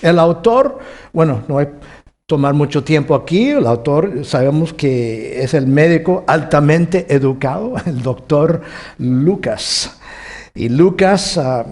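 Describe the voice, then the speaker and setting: A man teaching from the Bible to a congregation, with Costa Rican and Mexican Spanish and a halting manner full of restarts. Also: fairly high pitch. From United States, California. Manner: warm, halting with many restarts, chuckles often. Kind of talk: sermon teaching.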